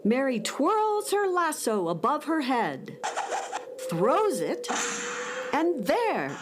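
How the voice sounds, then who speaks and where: A woman's voice: high-pitched, wildly swooping pitch, takes it slowly, noisy background, full character voice. A woman narrating a children's space adventure in a dramatic storytelling manner.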